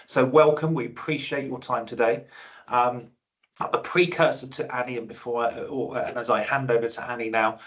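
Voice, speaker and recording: A man's voice: mid-range voice, fairly bright tone. A man hosting a webinar on a video call, welcoming the audience and introducing the speaker with many fillers. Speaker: webinar host.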